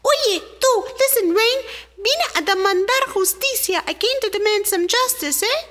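A woman voicing a tiny indignant female ant who squeaks in outrage. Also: animated, normal speed, fairly bright tone.

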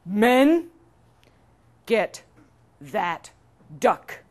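A woman's voice: lowish voice, normal speed, natural voice. A woman voicing a grumpy, miserly storybook king who gives curt orders. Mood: menacing. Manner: commanding, curt.

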